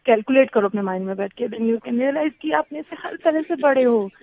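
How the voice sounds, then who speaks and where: A woman's voice: on the high side, expressive, moderate pace. A young adult woman talking conversationally on the phone, earnestly explaining herself and mixing Hindi with English. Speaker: prank call target. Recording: thin narrowband phone line.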